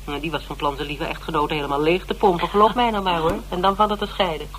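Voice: mid-range voice, fairly even intonation, fast, fairly bright tone, lightly acted. A determined investigator played by a radio drama actor speaks in a middling register. The delivery is persuasive and insistent, full of repetitions and tag questions, over light hum and noise. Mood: insistent, pleading. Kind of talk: Dutch radio drama acting. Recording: noisy old radio drama recording with hum.

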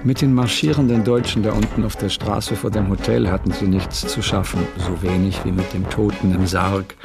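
A gravelly, low-voiced male storyteller narrates a literary radio drama over music. He is detached and wry, and measured in his delivery. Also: steady tone. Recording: radio play, music behind.

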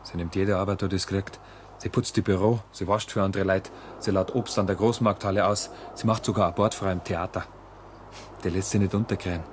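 A slightly low-voiced Bavarian man acted by a male performer speaks with earnest loyalty. It sounds like a 1970s radio play, with faint noise behind him. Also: fairly even intonation, fairly quick, natural voice. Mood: proud, earnest. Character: earnest man loyally praising his hardworking mother.

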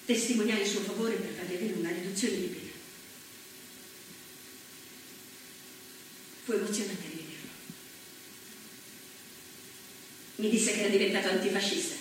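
A woman's voice: medium pitch, fairly even intonation, very fast, natural voice. An actress playing a proud, steadfast antifascist socialist woman in a solemn, declamatory stage monologue. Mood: grave, reflective. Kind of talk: theatrical monologue, declaimed first-person testimony. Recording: echoey, distant stage recording, faint noise.

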